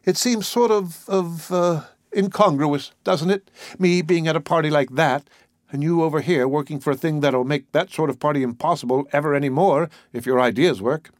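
A man voicing a lively, privileged young society woman with a wistful, hesitant, girlish manner in a story. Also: fairly high pitch, normal speed, no room sound, clean studio sound, voice pushed up, close to an everyday voice. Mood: hesitant, reflective.

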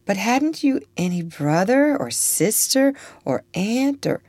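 A woman voicing a gentle, frail little girl in a storybook, earnest, loving and sad, pleading tenderly. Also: mid-range voice, big pitch swings, slow pace, no echo, voice pushed up, heavily acted.

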